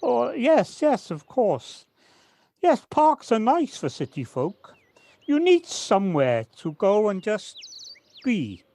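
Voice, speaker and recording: An actor playing a kindly, modest gardener in an audio drama, hesitant and polite with slight stammers. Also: male voice, sits high, wildly swooping pitch, slow, mellow, exaggerated voice. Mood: keen, encouraging. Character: kindly, hesitant village gardener, Alan, modest and nature-loving. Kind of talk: amateur audio drama acting.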